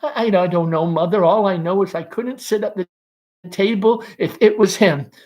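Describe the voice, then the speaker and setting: A man's voice: high-pitched, moderate pace, darkish tone, voice pushed up, close to an everyday voice. A man voicing a painfully shy, anxious young daughter in a stage play, breathless and pleading. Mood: nervous, hesitant.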